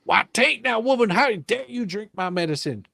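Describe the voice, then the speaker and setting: A man voicing an excitable, brash young boy in a storybook, with folksy, ungrammatical, exclamatory speech. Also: high voice, normal speed, creaky, no echo, studio quality, voice pushed up, heavily acted. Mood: indignant.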